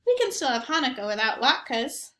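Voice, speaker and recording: A woman voicing a fond, forgiving young boy in an expressive picture-book read-aloud. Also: high voice, moderate pace, reverberant, full character voice.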